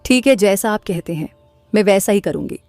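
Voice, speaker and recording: A woman's voice: mid-range voice, animated, brisk, a little mellow, no echo, faint music behind, natural voice. A woman playing a gullible, trusting young village wife in a cartoon moral story.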